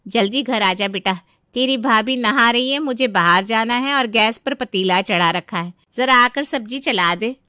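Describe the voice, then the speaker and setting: An actress playing a hurried, bossy older mother in a comic family story. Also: a little high, sharp tone, clean tone, no echo, clean studio sound. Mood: hurried, urgent. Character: hurried, bossy older mother summoning her married daughter. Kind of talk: animated family story acting.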